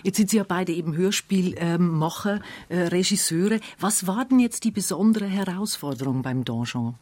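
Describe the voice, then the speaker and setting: A woman's voice: fairly low pitch, lively intonation, moderate pace, a little mellow, no echo. A woman hosting a radio magazine, putting questions to her guests in a conversational interview style. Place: dry radio studio.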